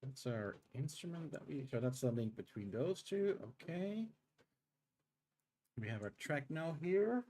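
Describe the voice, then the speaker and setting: A middle-aged man with a tired throat, streaming live game development and talking through his code in halting, unhurried steps. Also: a little high, lively intonation, warm tone. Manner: halting, thinks aloud with many pauses and um's. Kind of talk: live coding stream, thinking aloud.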